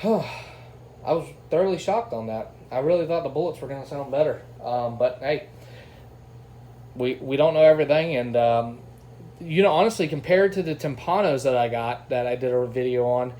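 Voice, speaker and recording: A man's voice: mid-range voice, lively intonation, moderate pace, darkish tone. A man giving a casual, informal and enthusiastic hands-on product review. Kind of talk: casual unboxing and testing review. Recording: clear background noise.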